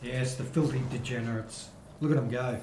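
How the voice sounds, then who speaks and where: A man's voice: medium pitch, steady tone, normal speed, a little mellow, echoey room, a little hiss or hum, low-quality recording. A middle-aged man gives rambling, casual commentary over his gameplay, his throat still a little worn. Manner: rambling, chatty, with filler-heavy restarts. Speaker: gaming video host.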